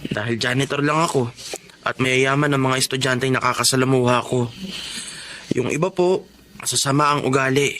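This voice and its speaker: A man's voice: mid-range voice, fairly even intonation, normal speed, long pauses, fairly bright tone, slight background noise, lightly acted. A man playing a humble, determined young working student in a drama, polite, meek and patient. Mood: earnest, respectful. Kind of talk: Filipino radio-style drama acting.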